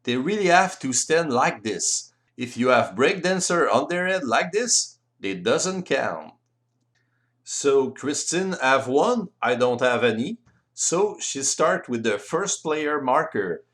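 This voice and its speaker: A man with a French accent, a native French speaker, explaining board game rules in careful, deliberate non-native English as an instructional voice-over. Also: medium pitch, sing-song, a bit bright.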